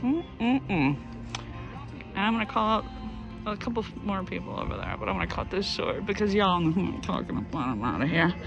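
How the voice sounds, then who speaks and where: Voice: mid-range voice, sing-song, moderate pace, lo-fi sound, over music. A vlogger chatting casually and rambling along, irreverent and joking, often slipping into bits of song. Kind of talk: casual, rambling travel vlog chat.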